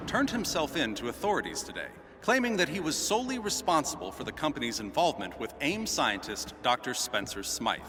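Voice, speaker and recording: A man's voice: mid-range voice, pushed and bright, in a large hall, a little hiss or hum. A man voicing a formal, authoritative news reporter in a video game.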